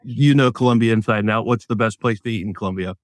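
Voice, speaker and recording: Low-voiced chatty male co-host. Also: fast, dry recording, studio quality. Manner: chatty, laughs easily. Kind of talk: casual talk show chat.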